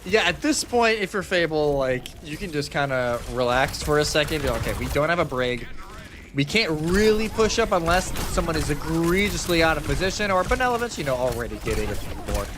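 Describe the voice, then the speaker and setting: A man's voice: on the high side, expressive, fairly quick, noisy background. A man doing live esports commentary in a casual, colloquial way, sometimes voicing imagined reactions as he explains.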